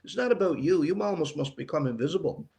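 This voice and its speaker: A man's voice: a little high, expressive, fast talker, creaky, darkish tone. An older man, an author talking plainly in short, emphatic phrases in an interview. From Newfoundland, Canada. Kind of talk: conversational interview.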